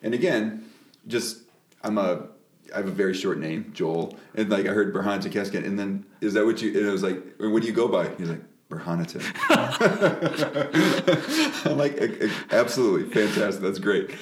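Casual, low-voiced male podcast host. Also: lively intonation, normal speed, a little hiss or hum. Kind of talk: casual conversational podcast interview.

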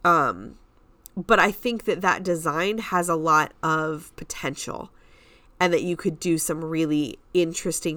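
A woman's voice: sits low, a bit bright, dry recording. A woman co-hosting a podcast, chatting casually and giving emphatic opinions in pointed statements. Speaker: podcast co-host.

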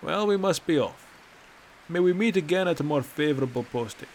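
A boisterous, needling Nord hunter, as a man reads him aloud, his voice lifted well above the storyteller's own and louder. A faint hiss sits under the recording. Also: fairly high pitch, expressive, brisk, pitched above the performer's own voice. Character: gruff jovial male Nord hunter, teasing.

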